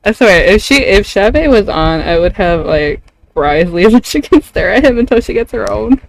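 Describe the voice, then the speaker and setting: A woman's voice: mid-range voice, animated, normal speed, creaky, poor audio. Woman game streamer: casual, giggly, rambling chat, loose and unscripted. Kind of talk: casual chat while gaming.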